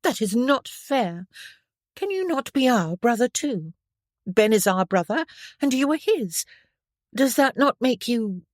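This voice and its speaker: A woman's voice: fairly high pitch, sing-song, measured pace, no room sound, clean studio sound, pitched above the performer's own voice. A woman reading an audiobook, voicing an eager, chatty teenage noblewoman in a girlish, breathless way. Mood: teasing. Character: spirited young noblewoman, seventeen, impulsive and chatty. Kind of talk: audiobook character voice.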